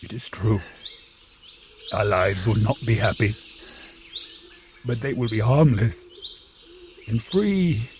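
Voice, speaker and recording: An actor playing an anguished, vengeful, eloquent monster in an audio drama, speaking in solemn, grandiose oratory. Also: male voice, lowish voice, slow pace, pauses often, a little mellow, a little hiss or hum. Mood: bitter, hopeful. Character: anguished, vengeful, eloquent male monster pleading with his maker.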